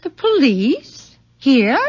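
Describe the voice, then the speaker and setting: A woman's voice: high voice, sing-song, darkish tone, full character voice. Composed, genteel, controlling mother, muffled radio. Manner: formal, measured, soothing yet condescending. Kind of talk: 1970s radio drama acting. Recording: dull vintage radio recording.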